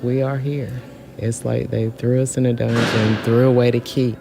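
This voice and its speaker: A woman's voice: rumbling low, little pitch movement, normal speed, gravelly, music in the background. A middle-aged woman speaking informally and from the heart, repeating phrases for emphasis, recorded on location with other voices around. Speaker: incarcerated artist. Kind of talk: heartfelt informal interview answer. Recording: location recording with crowd chatter behind. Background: background voices.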